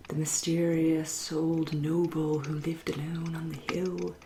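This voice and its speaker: A woman voicing a centuries-old aristocratic English vampire in a soft, breathy, often whispery ASMR roleplay, genteel and deliberate, with dry, polite menace. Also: deep voice, little pitch movement, reverberant, noisy background. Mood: proud, reminiscing. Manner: genteel, deliberate, drawn-out phrasing with dry irony.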